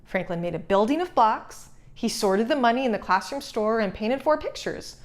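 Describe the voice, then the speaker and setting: A woman reading a children's storybook aloud as narrator, expressive and suited to young listeners. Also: fairly high pitch, normal speed.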